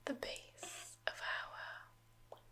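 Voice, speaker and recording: A woman voicing a soft-spoken, quietly sinister forest witch healer in a whispered ASMR roleplay, with slow, soothing, drawn-out words and murmured hums. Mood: hushed, soothing. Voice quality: whispering, unvoiced.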